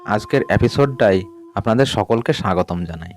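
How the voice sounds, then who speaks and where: A man narrating a scripted ghost story in a measured way, sinking lower and quieter at the grim moments. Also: lively intonation, slight background noise.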